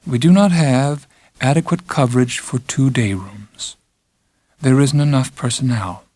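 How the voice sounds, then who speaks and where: A man voicing a formidable female head nurse in an audiobook, with a calm, condescending schoolteacher tone. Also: medium pitch, measured pace, no room sound, close to an everyday voice. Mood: politely dismissive. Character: formidable middle-aged female head nurse, coldly pleasant, controlling. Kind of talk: audiobook character acting.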